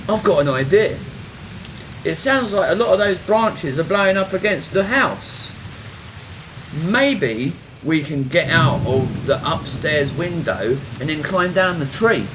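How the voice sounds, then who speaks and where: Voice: medium pitch, expressive, measured pace, noisy background, natural voice. An amateur actor, male, playing an angry teenage boy trapped in a haunted house in a radio play. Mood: planning.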